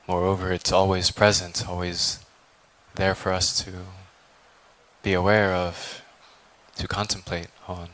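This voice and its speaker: A man leading a guided meditation as a teacher, speaking calmly and contemplatively with long, deliberate pauses. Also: low voice, low-quality recording. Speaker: meditation teacher, Buddhist monk.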